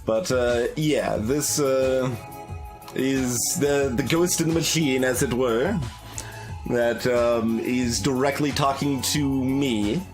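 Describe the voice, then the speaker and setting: A man hosting a game playthrough, talking through his thoughts with many pauses and restarts. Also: mid-range voice, faint music behind. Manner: thinking aloud, many fillers and restarts. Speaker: let's play host. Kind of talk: casual game commentary.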